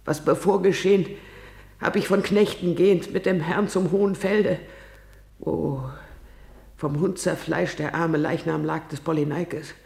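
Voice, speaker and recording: An actress playing a distraught maidservant messenger in a tragic verse radio drama, with a solemn, anguished delivery. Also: female voice, deep voice, gravelly, darkish tone. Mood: grave. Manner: solemn, halting delivery swinging into anguished cries. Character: distraught maidservant bringing news of royal deaths.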